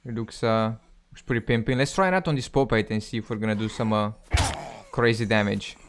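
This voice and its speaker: A man's voice: on the low side, a little fast. A young adult man hosting a gaming video with casual, conversational gameplay commentary.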